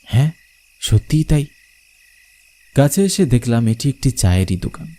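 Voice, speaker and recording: Animated male horror storyteller, soft-toned. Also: mid-range voice, normal speed. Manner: suspenseful, theatrical. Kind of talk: dramatic scripted horror story narration in first person.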